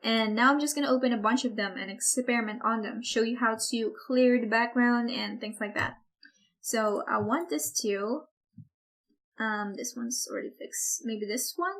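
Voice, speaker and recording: A woman teaching an online software course, talking casually with lots of "like" and "kind of", her voice a little hoarse from recording too much. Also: a little high. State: losing her voice, a bit hoarse. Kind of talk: screen-recorded software tutorial.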